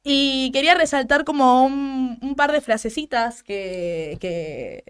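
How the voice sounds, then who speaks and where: A woman's voice: high-pitched, takes it slowly, clear, resonant tone. A young woman with an Argentine accent hosting a radio talk show, giving outspoken political commentary and often repeating words as she restarts. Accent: Argentine Spanish. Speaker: radio host. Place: radio studio.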